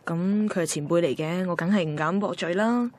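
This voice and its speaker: An actress playing a chatty, self-doubting young single woman, confiding in expressive Hong Kong Cantonese in a radio drama. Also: on the low side, fairly quick, lightly acted. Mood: puzzled, sulky. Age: young adult. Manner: confiding, expressive inner monologue. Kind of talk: radio drama narration and dialogue.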